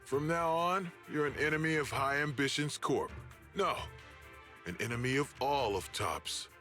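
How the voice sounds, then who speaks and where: A man's voice: a little high, a little slow, pushed and bright, faint music behind. An actor voicing a scheming, arrogant male corporate enforcer who taunts and threatens in a game cutscene. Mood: threatening. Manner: mocking, taunting.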